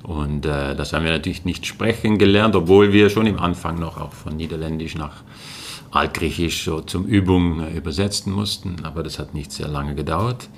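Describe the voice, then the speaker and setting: Man, low voice, gravelly, hesitant with many fillers, faint background noise. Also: normal speed. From Netherlands. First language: Dutch. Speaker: guest, linguistics lecturer. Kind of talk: casual interview answers.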